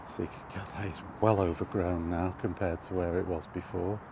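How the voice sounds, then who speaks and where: A British man giving rambling, casual commentary while walking outdoors, halting and thinking aloud as he goes. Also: sits low, little pitch movement. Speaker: video host. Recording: outdoor ambient noise throughout.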